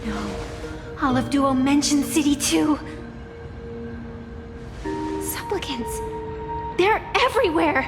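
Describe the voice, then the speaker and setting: High-voiced, anxious female lookout. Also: very animated, slow, long pauses, exaggerated voice. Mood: alarmed. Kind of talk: dramatic game voice acting. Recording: long reverb, loud music behind.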